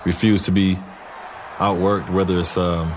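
A man giving a halting, conversational documentary interview, describing someone in short phrases. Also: deep voice, flat delivery, a little hiss or hum.

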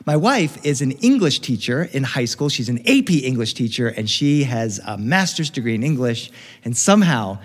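A middle-aged American man telling a first-person story live in an expressive, animated way with dramatic pauses. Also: mid-range voice. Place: reverberant studio, recorded live. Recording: live studio recording with long reverb.

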